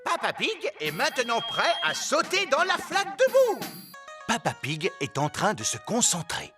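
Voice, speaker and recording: A woman playing an excited sports announcer in a French cartoon, dramatic and emphatic. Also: on the high side, moderate pace, over music. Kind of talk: French cartoon dub, mock sports commentary.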